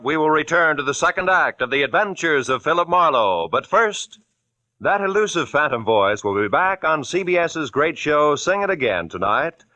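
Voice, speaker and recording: A man announcing in a crisp promotional manner, in 1940s radio style. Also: mid-range voice, sharp tone. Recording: dull lo-fi old radio recording.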